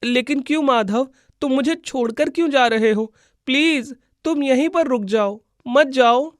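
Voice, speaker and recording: A man's voice: very high-pitched, a little slow, no echo, studio quality, voice pushed up. A man voicing an earnest, emotional schoolboy devoted to his friend, in a children's moral-story cartoon. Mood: pleading, sad. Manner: pleading, earnest.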